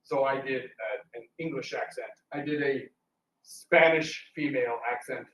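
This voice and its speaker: A man's voice: mid-range voice, moderate pace, a bit bright. A man speaking casually and ramblingly to an audience, telling stories with teasing asides. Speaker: radio theatre actor and panel speaker. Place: indoor talk in a roomy space. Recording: roomy, distant, noisy live room recording.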